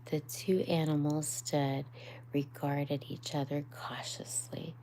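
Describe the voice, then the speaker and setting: A woman reading a bedtime story aloud in a soft, hushed voice that drops almost to a whisper, speaking up for the characters' lines. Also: sits low, little pitch movement, takes it slowly, rough recording. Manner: soft, hushed narration, often near a whisper. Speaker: storybook reader on a live stream.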